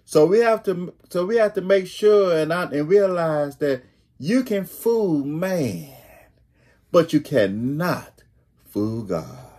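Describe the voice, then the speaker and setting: A middle-aged man preaching a sermon as a pastor, deliberately repeating key phrases for emphasis. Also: on the high side, sing-song, warm tone. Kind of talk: sermon, read from notes. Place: small home room, indoors.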